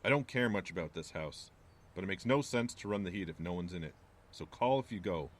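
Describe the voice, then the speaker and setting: A gruff, low-voiced small-town landlord. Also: male voice, animated, fairly quick. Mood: stern, curt. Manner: curt, ominous, folksy. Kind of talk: audio drama acting.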